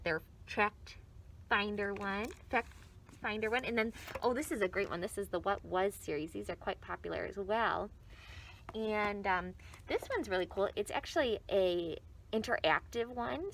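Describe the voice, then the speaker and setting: A woman working as a children's librarian, giving a casual unscripted show-and-tell, heard quietly from away from the microphone in a library. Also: mid-range voice. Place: library book aisle.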